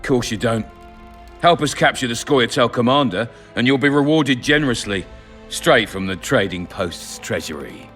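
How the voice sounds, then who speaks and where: An actor playing a scheming, prejudiced town commandant in a video game, measured and self-assured. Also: male voice, medium pitch, lively intonation, fairly bright tone, faint music behind, lightly acted. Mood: smooth, commanding.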